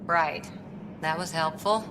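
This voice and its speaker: A woman playing a dry, skeptical space officer in a video game, with a deadpan, sardonic manner. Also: deep voice, slow. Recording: clear ambient noise.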